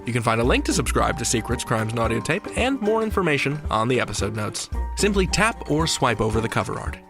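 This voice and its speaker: A man hosting a podcast, speaking in clear scripted presenter style. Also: medium pitch, very animated, quick pace, music in the background. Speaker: podcast host. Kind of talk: podcast interview and scripted sign-off.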